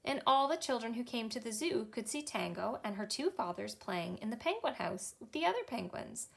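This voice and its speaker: A Canadian woman in her thirties reads a children's picture book aloud with a bright, cheerful storytime manner. Her voice sits a little high and swoops up and down with lots of expression. A gravelly edge creeps in at the ends of her phrases. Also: big pitch swings, moderate pace. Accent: Canadian English. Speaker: children's librarian. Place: small indoor library room.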